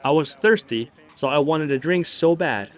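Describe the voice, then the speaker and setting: A man's voice: on the high side, animated, moderate pace. A young man reading a scripted, enthusiastic voice-over for a travel vlog. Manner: enthusiastic, explanatory. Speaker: travel vlogger narrating.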